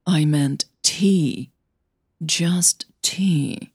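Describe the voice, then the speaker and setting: A woman playing a cool, guarded older female coach, measured and reluctant, in an audio drama. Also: low-pitched, a little mellow, slightly rough, no echo, studio quality, exaggerated voice. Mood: ashamed. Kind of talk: audio fiction dialogue.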